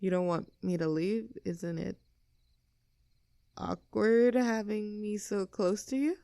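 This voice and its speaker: A woman's voice: medium pitch, steady tone, gravelly, warm tone, dry recording. A woman playing an easygoing young tomboy with a secret crush in an intimate audio roleplay, casual, teasing and tender in manner. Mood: flustered, hesitant. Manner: casual, teasing, tender, halting when flustered.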